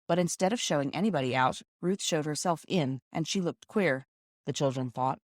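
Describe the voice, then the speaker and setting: A woman's voice: low-pitched, moderate pace, dry recording, clean studio sound. A woman reading scripted storybook narration as an audiobook reader. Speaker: audiobook reader and podcast host.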